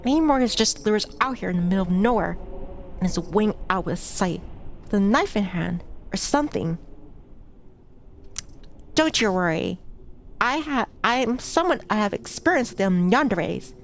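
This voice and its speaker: A woman's voice: mid-range voice, expressive, moderate pace, pauses often, slight background noise. A woman streamer reading a visual novel aloud, with casual, chatty asides between passages. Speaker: VTuber streamer. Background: background music.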